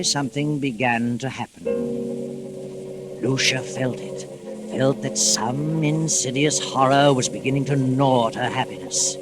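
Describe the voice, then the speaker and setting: An actor playing a grave, suspenseful storyteller, narrating a 1940s radio mystery in a deliberate, dramatic manner. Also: male voice, medium pitch, close to an everyday voice, rough recording. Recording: vintage 1940s radio recording. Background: music.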